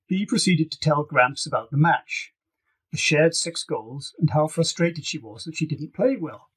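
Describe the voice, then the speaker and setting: Husky-voiced man narrating a novel. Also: a little high, expressive, unhurried, low-quality recording. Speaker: author reading own novel. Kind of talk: scripted audiobook narration.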